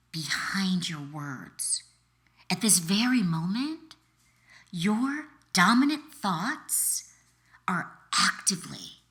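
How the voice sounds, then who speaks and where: A woman author reading her self-help book aloud as narration, speaking directly to the listener in deliberate, encouraging phrases with pauses. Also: medium pitch, pushed and bright. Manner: direct second-person address, encouraging. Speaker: author reading an excerpt from their own book.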